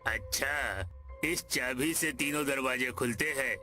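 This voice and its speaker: Man acting a slow, piercing village bully. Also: medium pitch, pushed and bright. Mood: scheming. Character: menacing, greedy village bully, an adult man. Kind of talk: Hindi cartoon story acting. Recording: music behind the voice.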